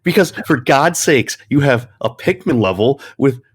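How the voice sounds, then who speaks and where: A man's voice: medium pitch, expressive, moderate pace, breathy edge. A man hosting a gaming podcast, ranting casually in an exasperated, theatrical, profanity-heavy way.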